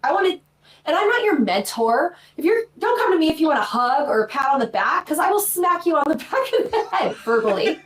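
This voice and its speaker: A woman in her forties talking as a podcast guest in a casual live chat, blunt, brash and quick to mock herself. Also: high voice, sing-song, poor audio. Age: 40s. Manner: blunt, brash and self-mocking.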